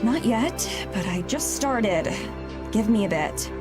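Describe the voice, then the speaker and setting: A woman's voice: medium pitch, lively intonation, slow pace, music in the background. A woman voicing a sceptical, slightly nervous young woman in a visual novel, questioning and defensive in a casual way.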